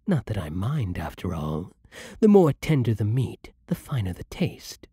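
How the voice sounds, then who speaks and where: A man playing a seductive, menacing vampire lord in an ASMR roleplay, with a breathy voice and a silky, theatrical, condescending manner. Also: on the low side, very animated, mellow, no room sound, studio quality, heavily acted. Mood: condescending, coaxing. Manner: slow, theatrical, silky condescension with dropping murmurs. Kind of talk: ASMR roleplay, scripted monologue to the listener.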